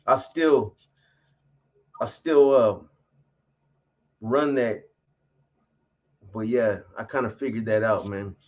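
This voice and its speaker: A man's voice: lowish voice, takes it slowly, long pauses, a little mellow. A man in his fifties hosting a casual livestream, answering viewer comments in a laid-back, profane, slang-heavy way. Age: 50s.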